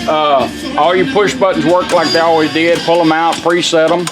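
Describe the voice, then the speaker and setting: A man's voice: a little high, level tone, moderate pace. A man giving an informal, hands-on product demonstration in a casual, folksy way. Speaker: radio restorer presenting a product. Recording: music playing behind the voice. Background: music from the demonstrated car radio.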